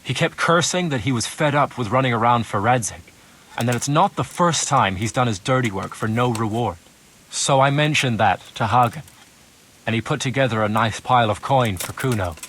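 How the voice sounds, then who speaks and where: An actor playing an ingratiating, glib turncoat informant in a video game cutscene. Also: male voice, medium pitch, normal speed, a bit bright, slight background noise, lightly acted. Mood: sly, confiding. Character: slippery male turncoat informant bargaining for his freedom.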